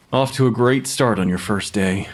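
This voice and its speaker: An actor playing a nervous young forensic pathologist, hesitant, apologetic and easily flustered, in an audio drama. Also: male voice, on the low side. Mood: self-doubting. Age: young adult.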